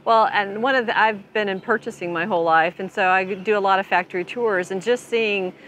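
A woman's voice: medium pitch, fairly quick, fairly bright tone, a little hiss or hum. A woman in her sixties, interviewed outdoors beside a travel trailer, talking in a chatty way and chiming in with short agreements. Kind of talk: casual interview answers.

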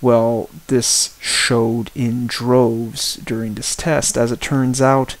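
A man giving a spoken personal-opinion tech review as a reviewer, in deliberate, halting phrases with emphatic repetition. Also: mid-range voice, flat delivery. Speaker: product reviewer.

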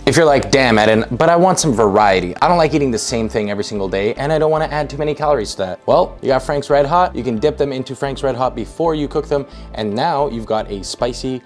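Young man, casual vlog host, middle-pitched voice, playful asides, light background music. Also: expressive, fast, hardly any pauses. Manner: upbeat, jokey, talks to viewers while cooking. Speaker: fitness vlogger. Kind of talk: casual cooking vlog talk.

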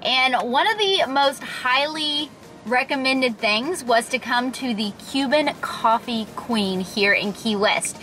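Woman, high voice, bubbly, travel vlog, handheld camera, music behind. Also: bright, forceful tone. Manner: bubbly, chatty, upbeat. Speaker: travel vlogger. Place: parked car, then outdoors on a pier and bridge. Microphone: handheld camera at arm's length.

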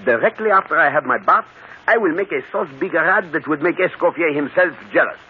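Old radio drama, thin band-limited sound, courtly fussy detective, French accent, very animated intonation, formal phrasing. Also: male voice, on the high side, a little fast, breathy edge. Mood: fussy, delighted. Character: fastidious, courtly Belgian gentleman detective. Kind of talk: 1940s radio acting. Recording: tinny, hissy old radio broadcast.

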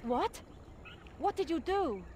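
A woman's voice: very high-pitched, lively intonation, slow pace, darkish tone, slight background noise, full character voice. A woman playing a proud, easily exasperated young desert princess in a video game, with formal, scolding phrasing.

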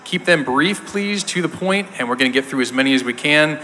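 Bright-voiced man hosting in a hall. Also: on the high side, animated, brisk. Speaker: panel host. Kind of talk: live stage moderating, casual. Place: large indoor convention stage. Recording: echoey hall PA, noisy crowd.

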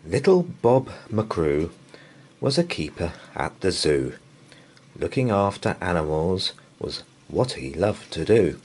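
A man's voice: low voice, very animated, darkish tone, husky. A man reading a children's bedtime story aloud in a playful, theatrical way. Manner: playful, theatrical, drawn-out delivery. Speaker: storybook reader.

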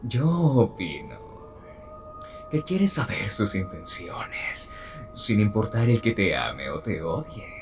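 A deranged villain behind bars in a film dub. He taunts at a very slow pace, in a low voice that swoops wildly from murmurs to giggling cackles, over loud music. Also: very animated, full character voice. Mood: menacing, sinister. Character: deranged male prison inmate, mocking, giggling villain. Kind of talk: Spanish fan dub film acting.

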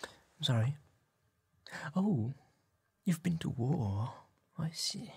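A man, playing a polite, attentive tailor in a gentle, whispered and soft-spoken ASMR roleplay, with hesitant pauses. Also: mid-range voice, big pitch swings, vocal fry, slightly rough. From France. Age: 20s. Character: polite, attentive bespoke tailor serving a client. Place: small dim tailor's workshop set, indoors.

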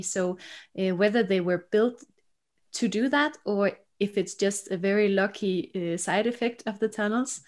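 Mid-pitched woman moderating a webinar. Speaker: webinar host. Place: indoor office, on a video call.

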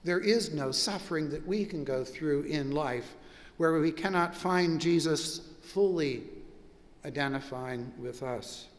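Older pastor preaching slowly in a reverberant church. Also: male voice, on the high side. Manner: measured, reflective preaching with drawn-out pauses. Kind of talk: sermon. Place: large church sanctuary with stone wall.

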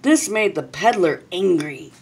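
A middle-aged woman reading a children's story aloud expressively, with dramatic pauses and drawn-out words. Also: on the low side, animated, a little hiss or hum. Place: small indoor room.

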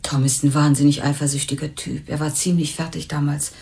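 A woman's voice: sits low, flat delivery, fairly quick, gravelly, dark tone. An actress playing a candid, unsentimental young working woman in a crime radio drama, talking bluntly and colloquially. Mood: reflective. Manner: blunt, colloquial, matter-of-fact. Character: candid, unsentimental young former waitress, murder victim's flatmate.

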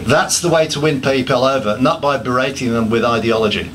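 A man's voice: mid-range voice, fairly quick, background noise. A man giving a public talk, listing points emphatically and often restarting his sentences with self-deprecating asides. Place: pub function room.

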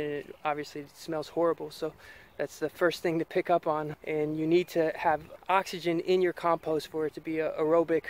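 An American man in his thirties giving a casual, explanatory farm tour, speaking into a camera held at arm's length outdoors. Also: fairly high pitch. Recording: outdoor ambient noise.

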